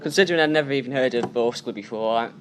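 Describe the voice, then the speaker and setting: Fast-talking opinionated man co-hosting. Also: mid-range voice, fairly bright tone, slight background noise. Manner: quick, opinionated, emphatic. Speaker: co-host. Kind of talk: casual sports chat.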